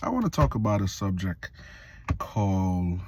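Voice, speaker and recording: A man in his late thirties, from Jamaica, giving a reflective casual monologue for his video channel, slow and full of pauses and fillers. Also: low voice, sing-song, no room sound. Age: 30s. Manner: slow, pausing often with fillers. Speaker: vlogger.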